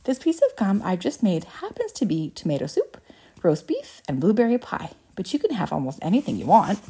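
Woman as male candy inventor, storybook, grandiose, emphatic, swooping pitch, soft-edged tone. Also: huge pitch swings, brisk, slightly rough, exaggerated voice. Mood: grand, gushing. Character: excitable boastful male candy inventor, showman.

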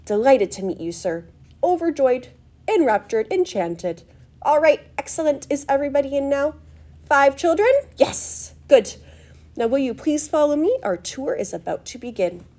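Woman acting eccentric old gentleman, high fluty voice, excitable, gushing, swooping pitch, storybook character. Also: normal speed. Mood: brisk, cheery. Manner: effusive, gushing, exclamatory. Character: excitable, eccentric, effusive old male chocolate factory owner.

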